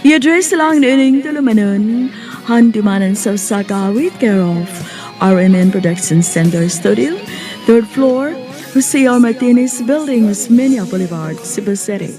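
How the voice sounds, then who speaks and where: Slightly high-voiced woman announcer over music. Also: lively intonation, moderate pace, dark tone. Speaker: radio drama host and announcer. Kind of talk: scripted radio announcing. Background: music under the voice.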